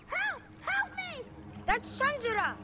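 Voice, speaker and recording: A woman's voice: very high-pitched, sing-song, slow, very noisy, exaggerated voice. A woman voicing an earnest, easily frightened young boy in a dramatic game cutscene. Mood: scared. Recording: music behind the voice.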